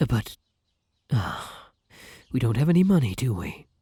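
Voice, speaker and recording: A man's voice: mid-range voice, sing-song, unhurried, mellow, husky, dry recording, clean studio sound, exaggerated voice. A man playing a devoted, old-fashioned vampire in an ASMR roleplay, speaking formally and with flowery affection. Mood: polite, wistful. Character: courtly, devoted gentleman vampire, male, tender and old-fashioned. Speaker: roleplay voice actor. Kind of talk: ASMR roleplay acting addressed to the listener.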